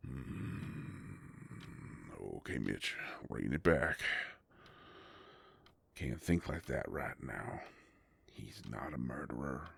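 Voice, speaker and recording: A man playing a gruff, protective werewolf private eye in an audio roleplay, with a deep gravelly voice and a folksy, halting, gently teasing way of talking. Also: expressive, dry recording, full character voice. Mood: tense, restrained. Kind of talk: scripted roleplay audio drama, intimate.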